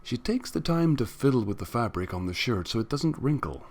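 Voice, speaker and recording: A man's voice: medium pitch, big pitch swings, a little fast, darkish tone. A man reading first-person fan fiction aloud as a dramatic narrator. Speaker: story narrator.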